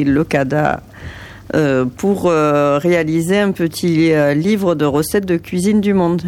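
A woman coordinating a community cooking project, explaining it slowly with many filler pauses. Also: low voice, a little mellow. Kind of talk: interview in a kitchen.

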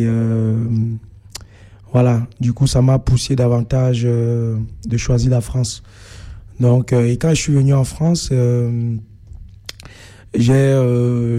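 A young man with a Cameroonian accent giving a personal account as an interview guest, speaking haltingly with many filled pauses. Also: mid-range voice, mellow. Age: young adult. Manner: hesitant, halting delivery with frequent filled pauses.

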